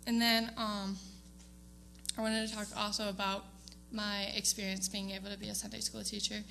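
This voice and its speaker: A woman's voice: mid-range voice, flat delivery, moderate pace, creaky, sharp tone, slight background noise, rough recording. A young woman giving a casual, hesitant speech to her church congregation, with frequent ums and small laughs. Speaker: student giving award speech. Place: church sanctuary.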